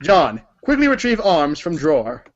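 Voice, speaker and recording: Lively, high-pitched man narrating a story. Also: normal speed, a little hiss or hum. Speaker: narrator in a read-along performance. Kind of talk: dramatic scripted narration. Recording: dull lo-fi recording.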